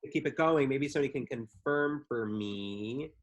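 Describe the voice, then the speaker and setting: A man's voice: mid-range voice, normal speed, dull audio. A man co-hosting a live podcast, chatting in an easygoing way with frequent hesitations and ums. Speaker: podcast co-host. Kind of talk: casual live podcast chat.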